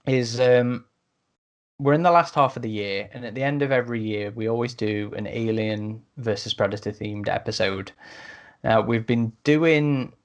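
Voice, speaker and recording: A man's voice: mid-range voice, moderate pace. A man hosting a podcast in a casual, chatty, matey way, speaking informally to listeners. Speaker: podcast host.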